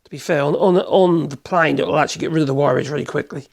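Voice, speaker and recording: A man with a cold, hoarse, chatting in a rambling way as he demonstrates in his workshop. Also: a little high, fast talker. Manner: rambling, chatty, self-correcting, chuckles. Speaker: woodworking channel host.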